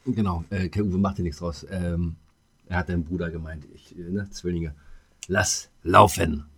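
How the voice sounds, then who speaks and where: A man's voice: low-pitched, fairly even intonation, normal speed, fairly bright tone, husky. A man co-hosting a podcast in a casual, comedic chat, speaking informally and playfully. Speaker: podcast co-host.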